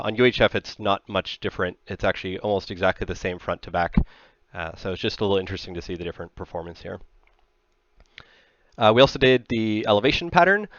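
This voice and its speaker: A man in his thirties giving an explanatory technical slide presentation in a conversational, measured manner. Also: lowish voice, fairly bright tone, no echo. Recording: slightly dull, band-limited sound.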